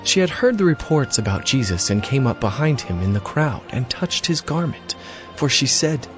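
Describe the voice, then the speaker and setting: A man's voice: mid-range voice, very animated, moderate pace, music in the background. A man reading scripted narration for a dramatized audio Bible.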